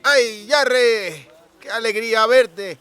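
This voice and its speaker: Audiobook role: boisterous drunk country lad, very high strained voice, bright and forceful, rustic speech. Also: male voice, takes it slowly, clean tone, heavily acted. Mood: boisterous, jolly. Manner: exclamatory, colloquial rustic speech with clipped words. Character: drunken, boisterous young cooper, rustic and friendly.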